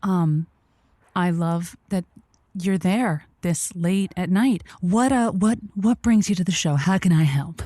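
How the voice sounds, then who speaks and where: A woman's voice: on the low side, slow, dark tone, no room sound. A woman playing an eager, inexperienced late-night radio host who rambles and stammers with chirpy politeness in an audio comedy. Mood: nervous, welcoming. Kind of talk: audio comedy drama acting.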